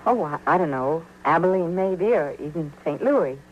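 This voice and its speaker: An actress playing a sad, guarded frontier woman with halting American speech, in old-time radio western style. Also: deep voice, animated. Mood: sad, hesitant. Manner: halting, stammering, reticent. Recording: muffled, hissy old radio recording.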